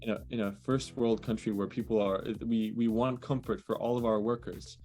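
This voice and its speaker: A man's voice: on the low side, fairly even intonation, moderate pace, darkish tone. A young man talking casually as an interview guest over a video call, hesitant with many fillers and restarts. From born in the US, raised in France. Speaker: guest, digital nomad.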